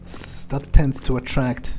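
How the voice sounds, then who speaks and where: A man's voice: medium pitch, flat delivery, a little slow, warm tone, slight background noise. A man commentating a video game playthrough in character, musing to himself in a casual, dry way. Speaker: gamer playing in character. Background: faint game music.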